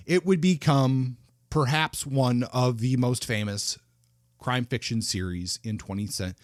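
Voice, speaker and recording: A man hosts a book podcast, talking through an author's life in loose, unscripted sentences full of small restarts. His voice sits at an ordinary middle pitch for a man. The sound is dry and close, with no room echo. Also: a little mellow. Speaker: podcast host. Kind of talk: conversational podcast talk about books.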